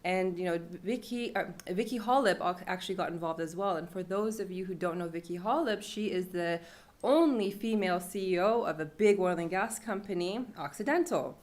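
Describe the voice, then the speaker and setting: Low-voiced woman telling a story to a crowd. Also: moderate pace, a little mellow. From Iran. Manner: conversational, with fillers and laughs. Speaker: panel speaker. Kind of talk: storytelling talk at a panel event.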